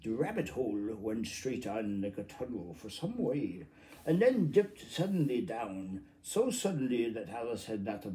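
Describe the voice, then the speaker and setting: A middle-aged man reads a children's classic aloud in a mellow, relaxed tone. His delivery is informal and halting, as he stumbles on phrases and adds little muttered asides. It is recorded on a phone in a room at home. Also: mid-range voice. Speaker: amateur storybook reader. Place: small room at home, indoors.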